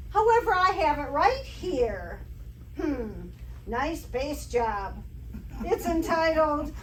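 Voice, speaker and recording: A woman playing a wry, quick-witted female schoolteacher in an old-time radio comedy performed on stage. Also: very high voice, exaggerated voice. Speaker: amateur stage actor. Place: live stage performance in a hall. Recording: echoey hall, distant mic, faint noise.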